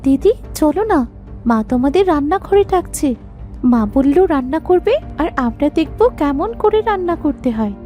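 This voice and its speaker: A high, very animated voice, a young village daughter in a cartoon fairy tale. She sounds girlish and expressive, with faint music underneath. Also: normal speed. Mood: eager, obedient. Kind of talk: animated folktale dialogue acting.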